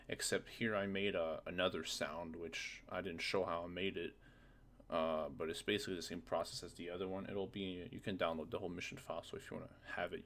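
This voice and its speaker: A man presenting a casual software tutorial, thinking aloud in an informal way with a gravelly voice. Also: low voice.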